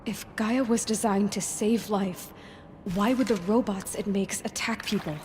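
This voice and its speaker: An actress plays a young female explorer in a video game, determined and full of questions. Her mid-pitched voice is earnest and a little breathy, with faint ambience behind it. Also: steady tone, unhurried, noisy background, close to an everyday voice. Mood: puzzled, troubled. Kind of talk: video game dramatic dialogue.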